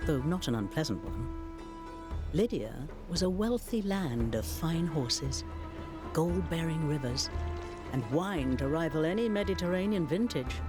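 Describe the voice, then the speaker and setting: A narrator delivering scripted dramatic history for a strategy game in a formal storytelling manner. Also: mid-range voice.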